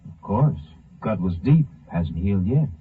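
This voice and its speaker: Low-pitched country doctor, muffled radio. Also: male voice, sing-song, unhurried, husky, exaggerated voice. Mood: matter-of-fact. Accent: American. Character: matter-of-fact country doctor, obliging. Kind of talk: 1950s radio drama acting. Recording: muffled narrow-band 1950s radio recording.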